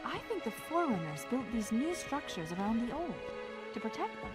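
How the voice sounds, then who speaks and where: A woman's voice: fairly high pitch, big pitch swings, a little fast. An actress voicing a clever, wry female AI companion in a video game, articulate and analytical. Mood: musing. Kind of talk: cinematic video game voice acting. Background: loud dramatic music.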